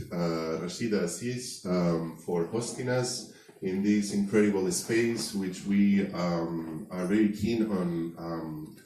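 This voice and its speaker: A man with a low, mellow voice opens an evening event, thanking hosts and partners. He hesitates often, pausing on fillers. He is recorded from a distance in a roomy hall with a faint hum behind. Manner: hesitant, many fillers and restarts. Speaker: event organizer, host. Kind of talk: introductory remarks at an event. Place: event space before an audience. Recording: echoey hall, distant mic, faint noise.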